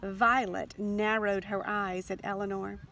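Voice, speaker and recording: A woman reading a children's storybook aloud in an expressive, deliberate narration. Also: medium pitch. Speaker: storybook reader. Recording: faint background noise.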